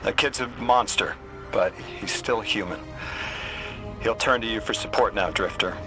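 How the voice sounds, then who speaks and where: An actor playing a calculating, sardonic schemer in a video game, terse and controlled. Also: male voice, lowish voice, measured pace, over music, lightly acted, poor audio. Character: cool, calculating male schemer coaching an ally. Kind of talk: video game cutscene acting.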